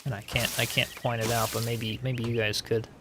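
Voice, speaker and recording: A man's voice: medium pitch, a little fast, very noisy. A man streaming a building game, chatting casually with his viewers and reading chat aloud, rambling with lots of filler words. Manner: relaxed, rambling, reads chat aloud, frequent likes and you-knows.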